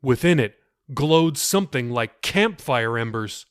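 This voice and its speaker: A man narrating his own novel in a measured, expressive storytelling voice. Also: medium pitch, smooth, no echo, studio quality. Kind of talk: audiobook narration.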